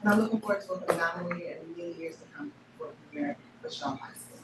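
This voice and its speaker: A woman introducing herself as a new assistant principal at a board meeting, enthusiastic and soft-spoken. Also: lowish voice, lively intonation, quick pace. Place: meeting room. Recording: echoey room, distant mic, loud noise.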